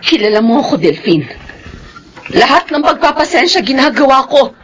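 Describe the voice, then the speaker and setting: Radio drama mother: long-suffering, protective, earnest, fairly high voice, faint background noise. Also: sing-song, moderate pace. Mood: pleading, defiant. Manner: earnest, emotional.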